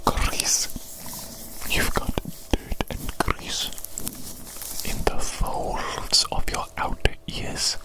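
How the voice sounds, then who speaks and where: A woman whispering softly and breathily in an ASMR medical roleplay as a doctor, soothing and repeating short phrases. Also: extremely slow, rough recording. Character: evil doctor tending a big creature.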